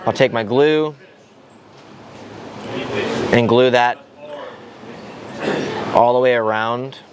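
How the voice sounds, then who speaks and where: A man's voice: medium pitch, extremely slow. A young man casually demonstrating a hands-on task, with lots of fillers and restarts as he explains step by step. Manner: loose, filler-heavy explaining with many restarts. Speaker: RC racer demonstrating tire prep. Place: large indoor hall with people at tables. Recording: voice over crowd chatter in a big hall. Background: crowd chatter in the hall.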